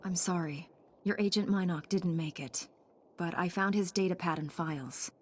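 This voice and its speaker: An actress playing a calm, resolute heroine knight in a video game, composed and measured. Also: female voice, lowish voice, breathy edge. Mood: sombre. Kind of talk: video game character acting.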